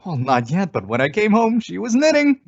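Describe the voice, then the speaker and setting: A man's voice: high voice, wildly swooping pitch, normal speed, full character voice. A man playing an eager, doting husband and father-to-be in an old-time radio sitcom, with a coddling manner. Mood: excited, confiding. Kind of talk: 1940s radio sitcom acting. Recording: muffled old-time radio style recording.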